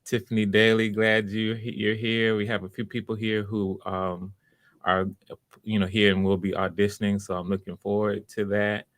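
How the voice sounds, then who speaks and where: Male livestream host, lower-pitched voice, close dry sound; casual, welcoming, encouraging, speaks off the cuff with halting phrasing. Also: level tone, fairly bright tone, dry recording. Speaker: host of live online voice auditions, writer. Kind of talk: casual livestream chat, greeting viewers by name.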